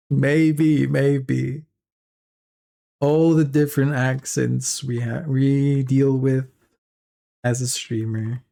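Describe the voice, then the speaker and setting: A laid-back man with a South African English accent; his first language is Afrikaans. He chats with his stream at an unhurried pace, laughing easily, with a gravelly texture to his voice. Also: medium pitch, steady tone, dark tone. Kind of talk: casual chat with viewers while 3D modeling.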